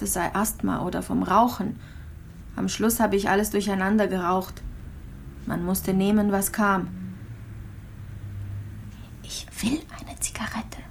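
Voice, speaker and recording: A frail, gravely ill young mother voiced by an actress in an intimate radio play. She confides haltingly, circling back over her phrases with fear and resignation. Her voice sits on the low side of middle for a woman, with faint ambient noise behind it. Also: natural voice. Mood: resigned. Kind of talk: intimate radio drama monologue and dialogue.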